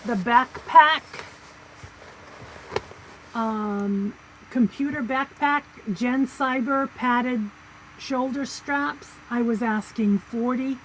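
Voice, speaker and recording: A middle-aged woman casually listing items for sale on a live auction stream, slow and halting with many pauses and fillers. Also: fairly high pitch, fairly bright tone, a little hiss or hum, low-quality recording. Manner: slow, halting, lots of ums.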